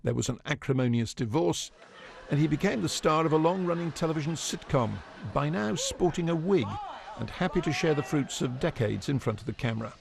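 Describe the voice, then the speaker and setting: A man's voice: fairly high pitch, animated, fairly quick, vocal fry. A man narrating a scripted obituary report as a news correspondent.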